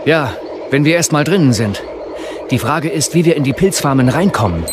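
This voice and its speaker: A man's voice: on the high side, expressive, normal speed, noisy background, close to an everyday voice. A man playing a composed, polite Jedi general in an audio drama, speaking with dry irony. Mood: wry, concerned. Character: composed, wry Jedi master and general, male.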